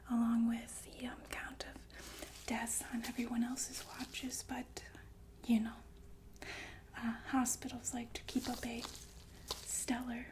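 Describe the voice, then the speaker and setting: A woman playing a calm, sinister killer nurse in a whispered ASMR roleplay, speaking politely and matter-of-factly with hesitant, unhurried phrasing. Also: a little high, lightly acted. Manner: unhurried, hesitant, softly matter-of-fact about death. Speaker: ASMR performer.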